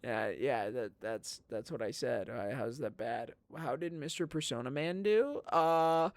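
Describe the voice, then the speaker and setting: A tired young man streaming, chatting loosely with viewers and reading chat aloud, his strained throat a little raspy. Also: mid-range voice, a little slow, no echo. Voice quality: slightly raspy. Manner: rambling, giggly, reads chat aloud. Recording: music playing behind voice.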